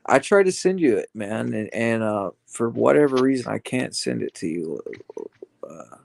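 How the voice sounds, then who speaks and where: A man hosting a casual livestream chat, rambling and halting with many fillers. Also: medium pitch, lively intonation, vocal fry, darkish tone. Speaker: livestream host.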